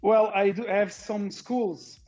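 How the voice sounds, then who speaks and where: A middle-aged man from Portugal talks in a relaxed, halting way, often stopping to restart his sentences. His voice sits a little high for a man. The sound is dull and lo-fi, with faint music underneath. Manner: hesitant, many fillers and restarts. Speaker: interview guest, car owner. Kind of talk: casual interview chat.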